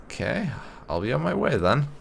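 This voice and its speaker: A man's voice: a little high, big pitch swings, normal speed, creaky, slight background noise, voice pushed up, full character voice. A man doing a sardonic young elf recruit. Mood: dry. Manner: dry and sarcastic. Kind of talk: roleplaying a game character.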